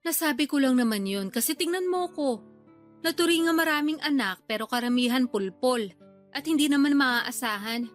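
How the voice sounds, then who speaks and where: A woman's voice: high-pitched, expressive, normal speed, soft background music. A woman playing a hard-up, complaining middle-aged older sister and mother in a Filipino radio drama. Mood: bitter complaining. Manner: complaining, defensive, blunt. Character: aggrieved, hard-up older sister, struggling mother of many children.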